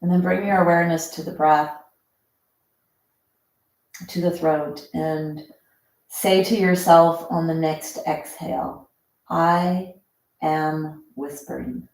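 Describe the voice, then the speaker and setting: Slow, low-voiced woman guiding meditation. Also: steady tone, vocal fry. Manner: gentle, instructing softly with pauses. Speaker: breathing meditation instructor. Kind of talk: guided breathing meditation. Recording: roomy, echoing sound.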